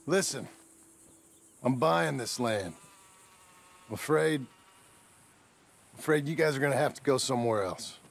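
An actor playing a plain-spoken, weary middle-aged cowboy in a western video game, with a laconic, earnest rural American drawl. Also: male voice, medium pitch, animated, unhurried, gravelly, long pauses, slight background noise. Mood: firm, reluctant.

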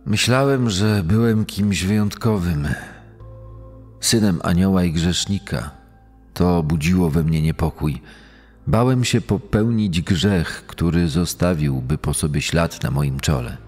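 A man acts out a cold, reflective male swindler confessing in a true-crime audio drama. His low voice moves widely in pitch, deliberate and theatrical, ringing in a long reverb. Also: expressive, a little mellow, husky, heavily acted. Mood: brooding confession. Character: male con man confessing, reflective and coldly self-assured. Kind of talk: dramatised first-person true-crime monologue. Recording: long reverb over background music.

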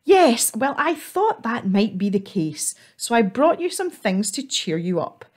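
A woman's voice: on the high side, sing-song, unhurried, voice pushed up. Woman voicing a sing-song, kindly village lady.

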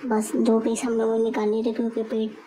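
A woman's voice: fairly high pitch, monotone, brisk, noisy background. A young woman narrating her vlog in a chatty, giggly way, telling viewers about her evening out. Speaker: vlogger. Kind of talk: casual voice-over vlog narration.

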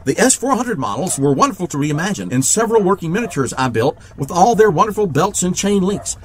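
An actor in an audio drama playing an eccentric, eager genius mechanic in a wheelchair, wordy and precise. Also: male voice, high-pitched, very animated, fairly quick. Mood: proud, eager.

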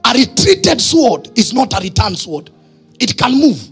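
A man in his thirties with a Kenyan accent, a pastor preaching emphatically in short phrases and questions to his congregation, husky, on a handheld microphone in church. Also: extremely high pitch, sing-song, a little slow, fairly bright tone, faint music behind. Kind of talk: sermon. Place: church stage, indoors.

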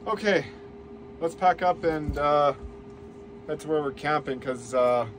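A man's voice: fairly high pitch, measured pace, background noise. A man in his thirties chatting casually to a phone camera held at arm's length, rambling with plenty of fillers, in his truck and out on a snowy trail. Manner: relaxed, rambling with many fillers. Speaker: vlogger. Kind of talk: casual vlog chat.

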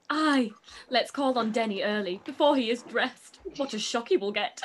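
Woman as a silly, high-voiced teenage girl. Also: measured pace, close to an everyday voice. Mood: giggly, mischievous. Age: young adult. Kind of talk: period radio play acting. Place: room at home, on a video call.

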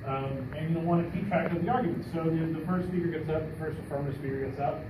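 A man's voice: a little high, steady tone, fast. A man in his thirties giving an informal, explanatory classroom lecture as a coach, casual and often rephrasing mid-sentence, picked up by a camera a few metres away. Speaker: debate coach teaching a judges' clinic. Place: indoor classroom at a whiteboard. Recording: roomy echo, distant mic, background noise.

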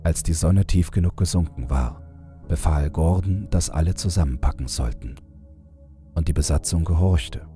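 A man's voice: rumbling low, normal speed, warm tone, faint music behind. A man reading scripted first-person horror fiction as an audiobook narrator.

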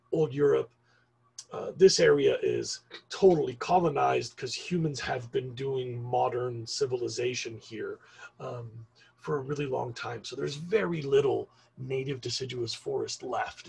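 Middle-aged man teaching over a webcam, raspy and gravelly, slow and unhurried, full of ums. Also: mid-range voice, a little mellow, poor audio. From Argentina. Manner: slow, rambling explanation with frequent ums. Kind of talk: recorded online class lecture.

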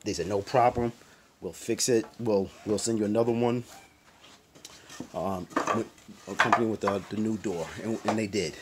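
A man's voice: fairly low pitch, steady tone, moderate pace. Rambling DIY man on windy phone audio. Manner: loose and rambling, many fillers and restarts. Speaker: DIY video host. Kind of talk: casual how-to walkthrough. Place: outdoors in a driveway and in a home garage. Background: wind noise.

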